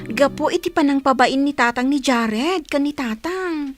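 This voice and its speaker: Woman as a very high-voiced, cautious elder sister. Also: moderate pace, faint music behind, natural voice. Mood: cautioning. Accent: Ilocano. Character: cautious elder sister, young, peace-minded. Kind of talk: radio drama acting.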